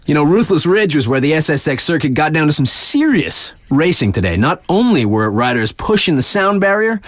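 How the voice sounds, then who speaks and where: An actor playing a hyped but laid-back radio DJ in a snowboarding video game, chatting enthusiastically in casual slang about events. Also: male voice, medium pitch, no room sound. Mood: stoked, amazed.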